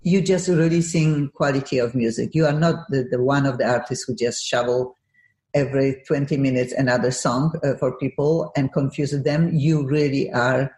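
A woman's voice: a bass voice, steady tone, normal speed, a little mellow, roomy sound. A woman from Poland with a Polish accent hosting a radio interview, talking effusively with some broken English. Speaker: radio show host. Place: radio studio.

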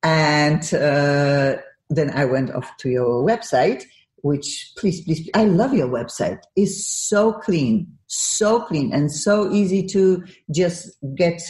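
A woman's voice: low voice, slow, a little mellow. Woman, Polish accent, radio host, effusive chatter, echoey room. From Poland. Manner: effusive, with frequent laughs and some broken English. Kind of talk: casual radio interview. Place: radio studio.

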